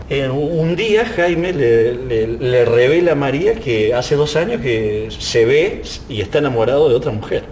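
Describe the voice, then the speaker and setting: A man explaining things reflectively in an interview, searching for words and adding little tag questions. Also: darkish tone. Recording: low rumbling background noise.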